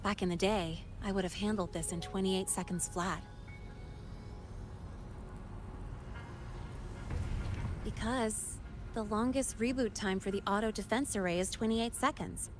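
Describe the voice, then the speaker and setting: A woman's voice: medium pitch, normal speed, close to an everyday voice. Actress as self-assured young female hacker, music behind. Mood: pleased, wry. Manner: casual, self-assured, explanatory. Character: confident, playful female hacker and tech expert, young adult. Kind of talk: video game voice acting.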